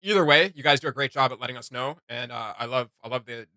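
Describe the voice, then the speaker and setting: Male reaction host: bright, forward voice, medium pitch, joking and rambling, casual. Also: quick pace, no room sound. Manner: animated, joking, rambling asides.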